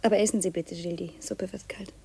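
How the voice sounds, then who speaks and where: An actress playing a delicate, reserved young widow in a 1950s radio drama, speaking softly and politely. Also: female voice, fairly low pitch, fairly even intonation, fast, creaky. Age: young adult. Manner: soft-spoken, polite, restrained. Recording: faintly noisy 1950s radio recording.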